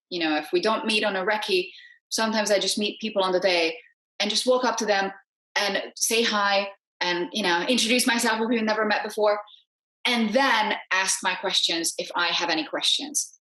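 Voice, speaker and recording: A woman's voice: mid-range voice, fairly bright tone. A woman explaining things in casual conversation as an assistant director, emphatic and repeating short phrases to make her point. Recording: roomy, echoey sound.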